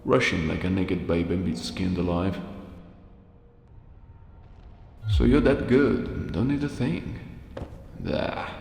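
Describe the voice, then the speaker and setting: A man's voice: deep voice, expressive, unhurried, vocal fry, a little mellow, pitched below the performer's own voice, heavily acted. A man voicing a bitter, crestfallen undead merchant from a fantasy game, sardonic and grumbling in manner. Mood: scornful, mocking. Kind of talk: fan voice acting of game dialogue. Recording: echoey long reverb, faint noise.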